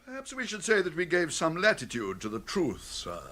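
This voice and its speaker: Unflappable, deadpan English valet. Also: male voice, on the high side, exaggerated voice. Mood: dryly tactful. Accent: English, formal and refined. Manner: measured, formal, deadpan. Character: unflappable, deferential English gentleman's valet, discreet and dry. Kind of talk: comic period radio drama acting.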